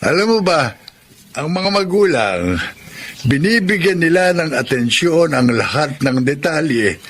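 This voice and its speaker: A man acting a kindly, lonely old widowed grandfather with a Filipino accent and a halting, wistful manner in an audio drama. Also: fairly high pitch, big pitch swings, a bit bright, heavily acted. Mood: warm, reflective.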